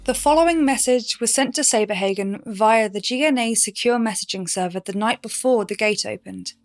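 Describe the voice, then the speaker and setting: An actress narrating a sci-fi audio drama as a composed archivist, in a documentary-like manner. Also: female voice, a little high, fairly quick, natural voice. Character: composed female archivist presenting expedition records. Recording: prominent music under the voice. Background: music.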